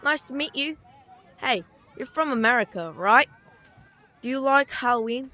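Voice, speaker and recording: A woman's voice: high-pitched, lively intonation, moderate pace, pushed and bright. A woman voicing a chatty, cheeky little British boy in an audio drama, with a frank, rambling, childlike manner. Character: chatty, cheeky, earnest little boy who loves comics.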